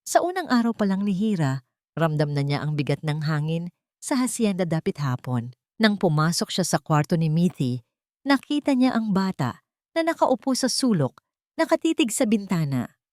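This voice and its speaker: A woman's voice: lowish voice, sing-song, moderate pace, a little mellow, no echo, clean studio sound. A woman narrating a scripted dramatic story as an audio drama narrator.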